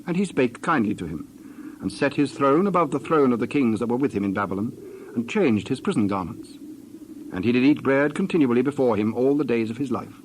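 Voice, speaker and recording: A man's voice: mid-range voice, slight background noise, low-quality recording. Solemn male scripture narrator. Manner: measured, solemn, phrase by phrase. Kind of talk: dramatized Bible narration.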